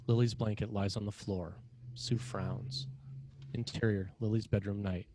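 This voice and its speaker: A man narrating scripted screenplay directions in a measured, matter-of-fact way with short clipped phrases. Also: on the low side, fairly even intonation, noisy background.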